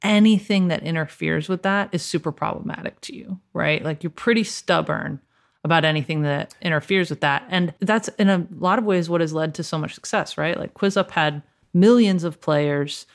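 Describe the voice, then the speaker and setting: A woman works as an interviewer in a casual conversation. Her voice is noticeably creaky with vocal fry, and she speaks in an informal, chatty manner. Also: fairly low pitch, moderate pace. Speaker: interviewer, tech journalist.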